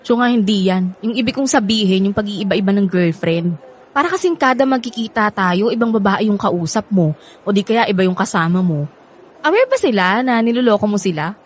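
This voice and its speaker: A woman's voice: medium pitch, lively intonation, brisk, lightly acted. A woman playing a sassy, skeptical young woman in a radio drama, scolding and sarcastic.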